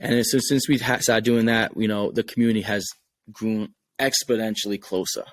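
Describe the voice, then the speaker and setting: Earnest Rhode Island man, low voice. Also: steady tone, moderate pace. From Rhode Island, USA. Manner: earnest, repeats words while thinking. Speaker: game creator guest. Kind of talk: casual podcast conversation.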